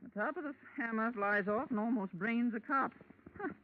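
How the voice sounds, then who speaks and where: An actress playing a brassy, slangy, aging carnival boss with a sharp voice in a 1940s radio drama. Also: female voice, a little high, normal speed, lightly acted. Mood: weary, sardonic. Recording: noisy, tinny 1940s radio recording.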